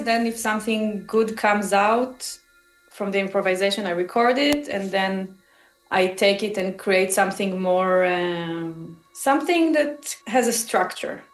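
A woman speaks slowly and carefully, pausing often to find her words. Her voice sits mid-range and rings with a roomy echo. Faint music plays underneath. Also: medium pitch. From Israel. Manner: thoughtful, searching for words with many pauses. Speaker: musician guest. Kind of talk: interview answers.

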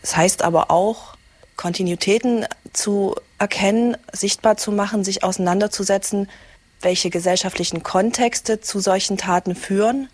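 A woman speaking earnestly about remembrance, setting out her points in a steady, measured series. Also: medium pitch, dry recording. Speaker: speaker on remembrance of victims of violence. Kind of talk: earnest spoken explanation.

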